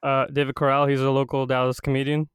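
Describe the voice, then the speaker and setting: A man's voice: mid-range voice, monotone, fast talker, clean tone, no room sound, studio quality. A young man co-hosting a podcast, blunt and teasing in casual chat. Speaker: podcast co-host.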